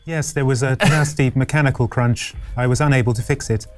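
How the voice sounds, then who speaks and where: A man playing a snide, unbothered android mechanic in a tabletop game, with dry, deadpan sarcasm. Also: mid-range voice, fairly quick, faint music behind, close to an everyday voice. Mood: dry mockery. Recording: clear background noise, room ambience.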